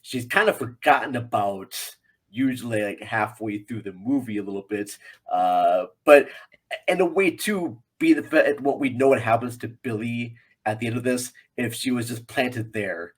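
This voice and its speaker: An American man talks in a casual, rambling way as a guest commentator on a film podcast. His voice is husky and sits at an ordinary male pitch, and he repeats phrases to drive a point home. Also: normal speed.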